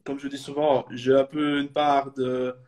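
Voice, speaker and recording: A man's voice: mid-range voice, level tone, a little slow, a little mellow. A man in his thirties from Belgium, talking casually as a guest in an online interview, hesitant with many fillers and restarts. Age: 30s. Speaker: supporters club guest. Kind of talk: casual video call interview.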